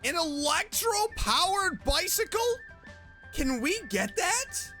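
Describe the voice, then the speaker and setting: A woman's voice: extremely high pitch, very animated, takes it slowly, sharp tone, soft background music. A woman streamer giving casual reaction commentary while playing a game.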